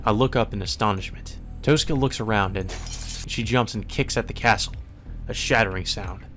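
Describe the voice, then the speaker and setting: A man's voice: on the low side, moderate pace, a bit bright. A man hosting a let's play, reading a visual novel aloud as narration and dropping in informal, casual remarks of his own. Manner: informal, swears in asides. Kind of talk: narrated read-through with casual side comments. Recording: music playing behind the voice. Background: background music.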